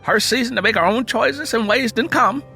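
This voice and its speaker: A man playing an earnest, polite but determined young rural churchman with a steady, respectful, folksy way of talking, in an audio drama. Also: very high voice, normal speed, vocal fry, faint music behind, pitched above the performer's own voice, full character voice.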